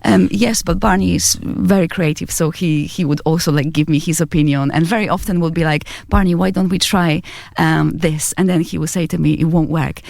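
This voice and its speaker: A woman in her thirties from Poland with a Polish accent, a singer-songwriter answering interview questions softly in a small radio studio on a boom mic. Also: fairly even intonation, a little fast, creaky, hardly any pauses, no room sound. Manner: soft-spoken, laughs often, hesitant fillers. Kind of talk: casual interview chat.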